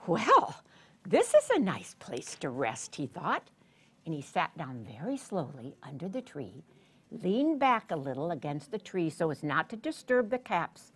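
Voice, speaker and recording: An older woman telling a story to young children in a theatrical, deliberate way, drawing out words and putting questions to her listeners. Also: medium pitch, breathy edge. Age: 60s or older. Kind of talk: animated read-aloud storytelling to young children. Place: children's story room in a public library.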